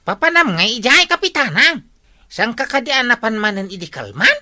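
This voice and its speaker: A cheeky young tricycle driver in a village comedy drama. The voice is high, sharp and very lively, full of inquisitive, exaggerated comic reactions. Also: female voice, moderate pace, full character voice. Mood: nosy, teasing. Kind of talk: Ilocano radio-style comedy drama acting.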